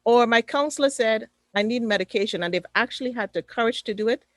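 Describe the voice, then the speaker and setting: A woman's voice: a little high, fast talker, dry recording. Female panelist, Trinidadian accent, emphatic preacher cadence, dry video-call audio. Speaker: panelist, mental fitness coach. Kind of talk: webinar panel discussion.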